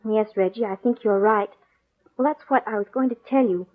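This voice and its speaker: An actress playing a genteel young bride, polite and affectionate but uneasy, in an old-time radio drama. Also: female voice, mid-range voice, normal speed, natural voice. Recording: muffled old radio recording.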